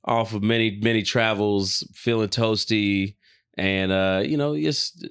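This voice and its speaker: A man of forty with a clear, resonant voice at an average pitch. He chats casually as a podcast co-host, blunt and slangy, cursing as he goes. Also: steady tone, unhurried, a bit bright. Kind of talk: casual chat.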